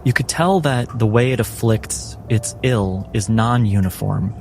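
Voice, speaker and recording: Slow, low-voiced man running a tabletop game. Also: a little mellow, a little hiss or hum. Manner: measured, deliberate phrasing with dramatic pauses. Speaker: game master. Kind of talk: dramatic tabletop game narration.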